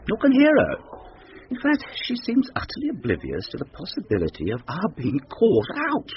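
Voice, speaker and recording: Swooping, self-mocking schoolmaster in love. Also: male voice, on the high side, huge pitch swings, normal speed. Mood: wry musing. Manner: musing, ironic, clipped exclamations. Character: self-mocking lovestruck male schoolmaster, wry and restless. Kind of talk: radio drama monologue. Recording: muffled, noisy old radio recording.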